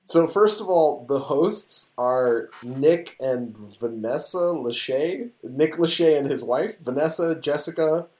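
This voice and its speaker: A man in casual chat, retelling a show in an animated, rambling, excitable way. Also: fairly high pitch, takes it slowly, hardly any pauses. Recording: echoey room.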